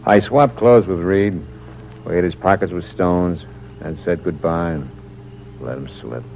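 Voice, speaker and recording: A man's voice: sits low, measured pace, creaky, a little mellow. A man acting a cynical, hard-boiled American drifter who narrates his crime in terse, wry 1940s radio noir style. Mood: grim, cynical. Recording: muffled, noisy old radio recording.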